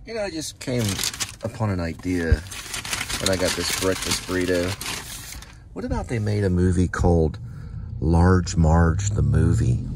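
A man with a drawl talks in a very deep voice as he makes his delivery rounds, rambling and trailing off as he goes. Road and vehicle noise carries clearly around him. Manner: rambling, offhand, trails off and repeats himself. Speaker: vlogger and delivery driver. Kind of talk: casual talk to camera.

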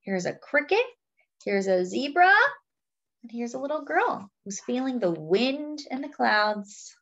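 Slow, lively woman reading to children. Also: a little high, dull audio. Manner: simple phrases, pointing things out to children. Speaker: children's read-aloud storyteller. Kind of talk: picture-book read-aloud for young children, partly sung.

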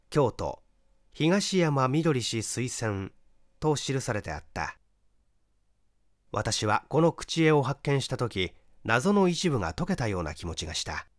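A man reading a mystery story as scripted narration, in a measured, expressive storytelling manner. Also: medium pitch, no room sound, studio quality. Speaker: audiobook narrator.

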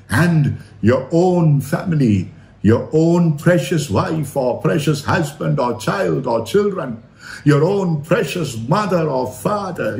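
An earnest male preacher delivers a sermon at an unhurried pace. His voice sits fairly high and rises and falls widely as he exhorts and repeats phrases for emphasis, with a roomy echo around it. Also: gravelly, a little mellow. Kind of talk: sermon retelling a Bible story. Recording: roomy, echoing sound.

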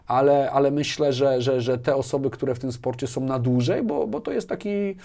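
A man in his forties with a medium-pitched voice, chatting as a guest in an interview. He circles back over small words as he thinks and often ends on a short question seeking agreement. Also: normal speed, vocal fry, mellow. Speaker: interview guest, business manager. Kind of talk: casual conversational interview. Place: small furnished indoor studio, seated. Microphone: lapel mic.

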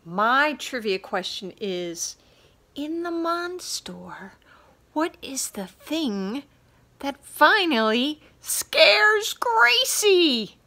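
A middle-aged woman talking to camera in an animated, playful way, asking a trivia question on a phone held at arm's length. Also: high-pitched, extremely slow. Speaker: children's author. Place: indoors by bookshelves.

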